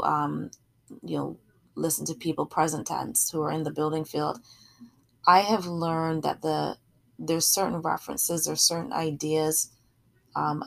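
Middle-aged lady preaching, pretty deep voice, gravelly creak, explains stuff in a chatty way. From Barbados. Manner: explanatory, often checks in with "right?" and "you know". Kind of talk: sermon, conversational teaching.

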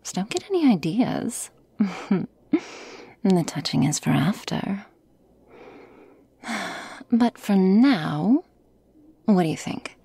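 Playful, teasing girlfriend played by a woman for ASMR roleplay: very slow, breathy whispering with creaky edges. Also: mid-range voice, sing-song, warm tone, dry recording, full character voice. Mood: coy, teasing.

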